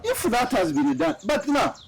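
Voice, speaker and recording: Male host from Tanzania, bright and excitable delivery, high lively voice. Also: normal speed, vocal fry, noisy background. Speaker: show host. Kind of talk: studio political talk show.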